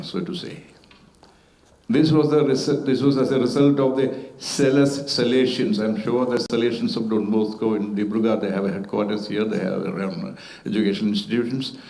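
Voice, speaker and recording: A man's voice: lowish voice, darkish tone. Guy on a festival panel, careful formal speech, bit of um and uh, echoey hall sound. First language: Malayalam. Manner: measured and formal, with occasional hesitations. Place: auditorium at a literature festival.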